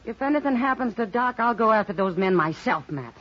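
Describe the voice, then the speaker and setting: A woman's voice: a little high, animated, a little fast, a little hiss or hum, lightly acted. An American actress playing a forthright, anxious, strong-willed frontier saloon woman in a 1950s radio western, direct and insistent. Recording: muffled old radio recording.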